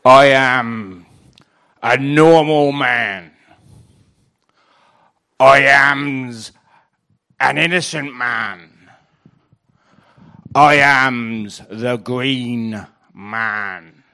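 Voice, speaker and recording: A man voicing a forlorn, ancient Green Man as a pirate, in a slow theatrical monologue with mangled grammar over music. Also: mid-range voice, pushed and bright, clean tone, voice pushed down, exaggerated voice. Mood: solemn, declaring. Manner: deliberate, declamatory, with mangled grammar.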